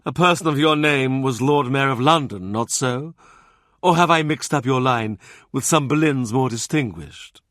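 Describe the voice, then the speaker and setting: A man's voice: medium pitch, moderate pace, a bit bright, no echo, clean studio sound, lightly acted. A man voicing a domineering, scornful older cardinal who mocks and bullies as he speaks. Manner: mocking, bullying, theatrical.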